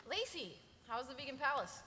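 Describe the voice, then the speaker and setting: A woman's voice: high voice, very animated, brisk, sharp tone, full character voice. A young woman playing a wry, articulate young artist with a dry, teasing manner at a live table read. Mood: supportive. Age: young adult. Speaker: actress. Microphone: table microphone. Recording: echoey convention hall, faint noise.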